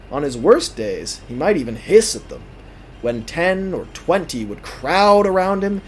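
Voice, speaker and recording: Animated male storyteller, audio drama narration. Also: fairly high pitch, slight background noise, low-quality recording. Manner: theatrical, deliberate phrasing.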